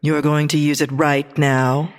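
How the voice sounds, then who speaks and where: A woman's voice: low-pitched, flat delivery, measured pace, big-room sound. An actress playing a sly female villain's accomplice with a cool, sardonic manner.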